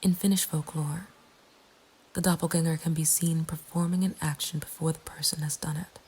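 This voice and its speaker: A woman narrating a scripted horror story in a breathy ASMR whisper, with a hushed, deliberate delivery. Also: sits low, fairly even intonation. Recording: faint ambient background noise. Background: soft nature ambience.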